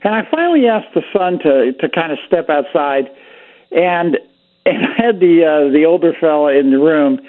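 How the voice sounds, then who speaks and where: A man's voice: a little high, normal speed. A man from Pennsylvania, a doctor calling into a radio show, easygoing and chatty as he tells stories. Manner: easygoing storyteller, relaxed and chatty. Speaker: physician guest. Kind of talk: casual radio chat. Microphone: phone call. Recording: tinny phone line.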